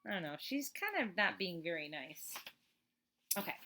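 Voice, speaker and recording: A woman reading a children's book aloud as a teacher, in an expressive, chatty way with casual asides. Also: fairly low pitch, moderate pace, a little hiss or hum. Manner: expressive, chatty, emphatic on list items.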